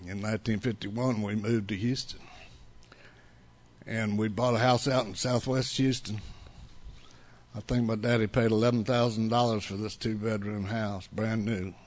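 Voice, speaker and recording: An older man in his seventies, with a fairly low voice, gives a personal testimony to a listening crowd. He talks bluntly and loosely, circling back and restarting his sentences as he goes. His first language was Italian. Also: flat delivery, normal speed. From United States. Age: 70s. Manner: rambling, blunt storytelling with restarts. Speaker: speaker sharing his recovery story.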